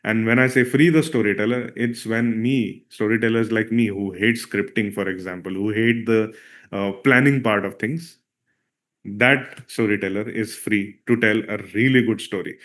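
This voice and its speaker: A man's voice: fairly low pitch, fairly even intonation, moderate pace, fairly bright tone. Man leading an online workshop. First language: Marathi. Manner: conversational, frequent "all right?" checks and "uh" fillers. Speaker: filmmaker and workshop presenter. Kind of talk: live online workshop talk.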